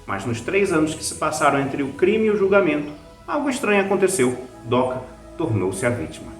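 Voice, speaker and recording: A man's voice: fairly high pitch, very animated, brisk, reverberant, soft background music. A man with a Brazilian accent, hosting and narrating a scripted review. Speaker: host, writer and reviewer.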